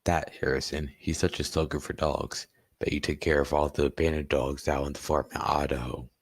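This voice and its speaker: A man playing a calm undercover police sergeant in a student radio play. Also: a bass voice, a little fast, breathy edge, exaggerated voice. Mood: calm, in charge.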